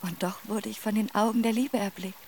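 A woman's voice: medium pitch, fairly even intonation, fairly quick, a little mellow, slight background noise, lightly acted. An actress in a radio play voicing a young noblewoman who speaks of her misfortune with formal, earnest sorrow. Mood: sorrowful.

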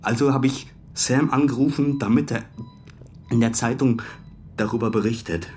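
An actor playing a beaten-up, bitter football goalkeeper in a crime radio drama, giving aggrieved, halting testimony. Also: male voice, medium pitch, fairly even intonation, darkish tone, close to an everyday voice. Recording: faint music under the voice.

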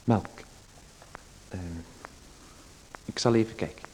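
A man's voice: on the low side, steady tone, takes it slowly, dark tone, slight background noise, low-quality recording. A man playing a meek, polite host in a radio play, who hesitates and gives in to his visitor. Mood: hesitant.

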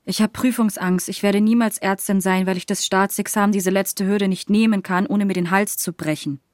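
An actress playing an anxious medical student who is the older sister, speaking in a despairing way in a radio drama. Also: female voice, mid-range voice, steady tone, moderate pace, a little mellow, dry recording, clean studio sound, natural voice.

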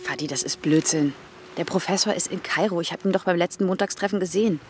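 A woman's voice: lowish voice, fast talker, background noise, lightly acted. An actress playing an observant, pensive young German woman in a radio drama, moving between dialogue and dramatic narration. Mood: curious.

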